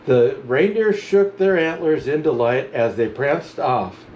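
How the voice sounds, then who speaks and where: A man reading a children's storybook aloud as a Santa storyteller, with animated, chuckling narration. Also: on the high side, a little slow, dull audio, slight background noise. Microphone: camera mic.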